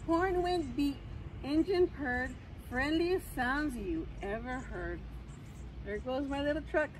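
Middle aged lady teacher reading kids story, high sing-song voice, outside w cars and noise. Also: expressive, slow pace, low-quality recording. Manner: sing-song rhyming delivery to children. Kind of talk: expressive children's read-aloud. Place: outdoor fenced lawn near a road. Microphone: camera a few metres away. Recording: outdoor noise, passing cars.

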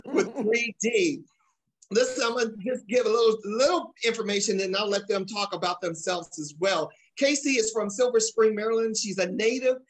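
A man's voice: sits high, moderate pace, low-quality recording. A middle-aged man presents a casual talk show from a room at home. He interviews guests and hands out encouragement and advice. He is recorded over a video call. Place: small room at home, indoors.

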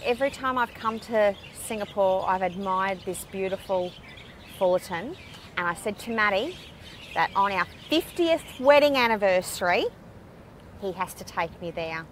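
A woman's voice: medium pitch, lively intonation, moderate pace, a little hiss or hum. A woman vlogging a city walk with her husband, chatting in a playful, easygoing way. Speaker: travel vlogger. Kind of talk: casual walking-tour chat. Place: outdoors on a city street.

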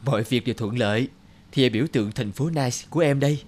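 A man playing a gentle, hesitant married businessman with a guilty streak in an animated drama. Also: medium pitch, quick pace, close to an everyday voice. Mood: friendly. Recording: music under the voice.

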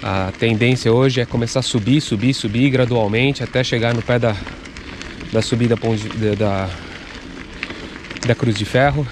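A man's voice: mid-range voice, fairly even intonation, normal speed, noisy background. A man with a stuffy, congested voice chatting casually as he cycles country roads, picked up by a camera mounted on his handlebars. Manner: chatty, loose and rambling, with restarts. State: congested from a cold. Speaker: cycling vlogger. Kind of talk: casual running commentary while cycling. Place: outdoors on a bicycle on country roads. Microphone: action camera on the handlebars.

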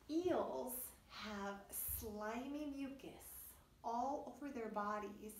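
A middle-aged woman, a preschool teacher reading aloud and explaining things to young children in an animated way. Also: mid-range voice, slight background noise. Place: indoors, wood-panelled room by a puppet stage. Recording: roomy echo, distant microphone.

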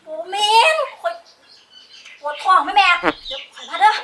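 A woman with an Isan accent playing an evasive young daughter who answers in whiny, drawn-out replies. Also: very high voice, animated, background noise. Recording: distant with music behind.

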